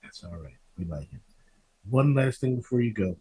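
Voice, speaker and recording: A man's voice: on the low side, animated, moderate pace, dark tone, slightly rough. Easygoing man hosting a podcast. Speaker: podcast host, interviewer. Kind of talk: casual interview chat.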